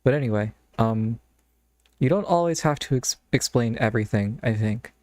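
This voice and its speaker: A man's voice: mid-range voice, lively intonation, normal speed, darkish tone, no room sound. A man streaming live, chatting casually and thinking aloud in a rambling way into a close mic. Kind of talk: casual live-stream chat while browsing games.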